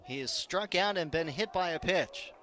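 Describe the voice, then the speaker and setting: Guy doing live baseball play by play on radio, pretty high bright voice, short descriptive calls. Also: expressive, normal speed, slight background noise. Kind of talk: live radio sports commentary.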